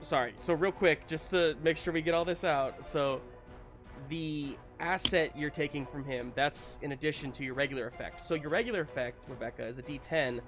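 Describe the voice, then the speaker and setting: A man's voice: on the high side, normal speed, a bit bright, faint music behind. A man running a tabletop roleplaying game, narrating casually and checking in with his players in an encouraging way.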